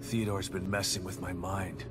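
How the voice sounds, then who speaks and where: An actor playing a grim, determined middle-aged detective in a video game, terse and hard-boiled, haunted by loss. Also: male voice, deep voice, little pitch movement, normal speed, lightly acted. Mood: resolute. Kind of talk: dramatic video game acting. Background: music.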